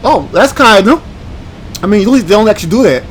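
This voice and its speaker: High-pitched man doing casual game commentary. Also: lively intonation, slight background noise. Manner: informal, halting, thinking aloud. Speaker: gaming video host. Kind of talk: casual let's play commentary, reading on-screen text aloud.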